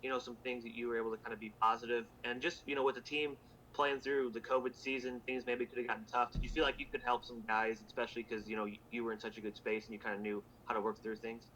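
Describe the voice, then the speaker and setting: A man's voice: mid-range voice, level tone, fast talker, a bit bright, a little hiss or hum, low-quality recording. A male sports reporter asking long, looping questions at a press conference.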